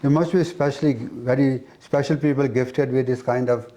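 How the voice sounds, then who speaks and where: A man giving an explanatory spiritual talk as an interviewed teacher, with halting, repetitive phrasing. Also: medium pitch, steady tone, mellow.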